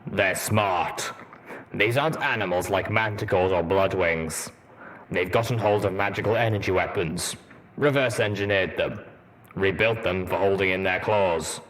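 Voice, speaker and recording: A man voicing a gruff, grave armored warhorse veteran in an audiobook, blunt and deliberate, with a deep rumbling voice. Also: a bit bright, rough recording. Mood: grim, matter-of-fact. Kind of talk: audiobook character dialogue.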